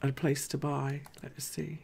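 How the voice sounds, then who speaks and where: A woman with a deep voice, hosting a live market analysis show. She talks casually and thinks aloud as she goes. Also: little pitch movement, a little slow, gravelly, darkish tone, husky. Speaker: host.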